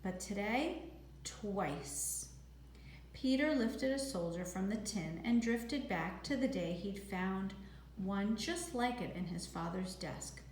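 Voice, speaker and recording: A woman reading a children's novel aloud as a teacher would, in expressive storybook narration. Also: medium pitch, slow. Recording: echoey room, faint background noise.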